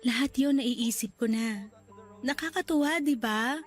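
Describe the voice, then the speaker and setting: A woman voices a young woman gravely ill in a hospital bed, tender toward her love and pleading with him. Her voice sits a little high and soft, breaking into tears. Faint music plays under this radio drama. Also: measured pace, lightly acted. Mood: dreamy.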